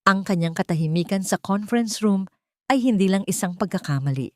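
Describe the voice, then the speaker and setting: A woman narrating a scripted dramatic story in a suspenseful way, pausing between short phrases. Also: mid-range voice, lively intonation, no room sound, studio quality.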